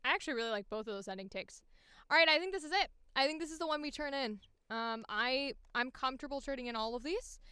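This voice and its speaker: A woman's voice: sits high, expressive, quick pace, bright, forceful tone, clean tone, no echo, clean studio sound. A woman streamer and voice actor chatting casually as she works, half to herself, playful and free with swearing. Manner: talks to self, swears freely, playful. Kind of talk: casual livestream chat while editing audition takes.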